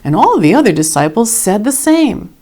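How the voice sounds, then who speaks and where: A woman reading a scripted Bible story in a measured, dramatic way with expressive emphasis. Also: medium pitch. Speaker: reader of scripture.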